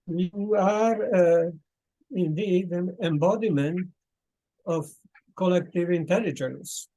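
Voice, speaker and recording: A man hosting a video call, his voice sitting a touch high for a man. He speaks very slowly and thoughtfully, hesitating often and filling the gaps with small sounds as he gathers his thoughts. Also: mellow, rough recording. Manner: slow and thoughtful, with many pauses and fillers. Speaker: host. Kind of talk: casual talk at an online meeting.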